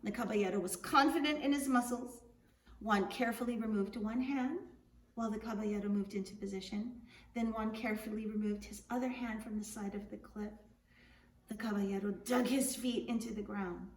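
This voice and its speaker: A woman narrates a tale in an expressive storybook style, as an author reading aloud. The room echoes around the voice. It sits slightly away from the mic, with faint noise behind. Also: medium pitch, brisk, far from the mic. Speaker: author reading their book.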